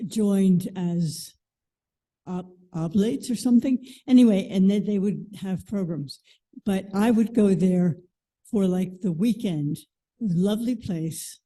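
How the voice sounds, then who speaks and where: A woman's voice: medium pitch, warm tone. A woman from a congregation sharing a casual, personal reflection aloud.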